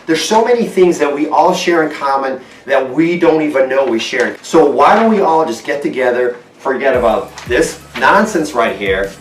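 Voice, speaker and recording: A man's voice: mid-range voice, expressive, normal speed, few pauses, far from the mic, a little hiss or hum. Fired-up man in an echoey room. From Portugal. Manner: blunt, fired-up, swears freely. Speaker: car vlogger. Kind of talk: casual vlog monologue. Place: inside his house, in front of a green screen.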